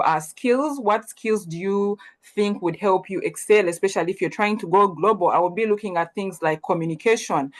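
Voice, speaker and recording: A young woman from Uganda, giving an earnest webinar presentation full of ums and self-corrections. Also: lowish voice.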